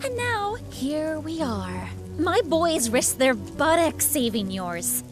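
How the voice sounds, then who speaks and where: Game rebel boss: very high, lively swings, sarcastic, teasing, playful. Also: female voice, takes it slowly, over music, exaggerated voice. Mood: mocking. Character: sardonic, playful woman leader of secret rebel wardens. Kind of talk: theatrical video game acting.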